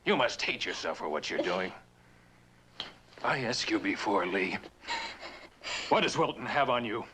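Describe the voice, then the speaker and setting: A slightly noisy vintage drama recording with an earnest, morally insistent friend. His voice is lowish but sharp and forceful, with pointed, dramatic delivery. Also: sing-song, measured pace, full character voice. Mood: sardonic, accusing. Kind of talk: old radio and television suspense drama acting.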